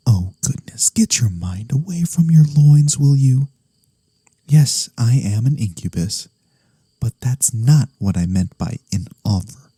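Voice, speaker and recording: A man playing a gentle, honorable incubus demon in a soft-spoken ASMR fantasy roleplay, measured, formal and softly teasing. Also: medium pitch, animated, slightly rough, no room sound. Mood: teasing exasperation.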